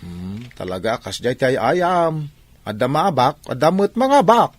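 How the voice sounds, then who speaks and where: An animated father, acted by a man in a Filipino radio-style drama. He chides and reasons with his child in drawn-out phrases, his pitch moving widely. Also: no echo. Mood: chiding. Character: father gently lecturing his young son.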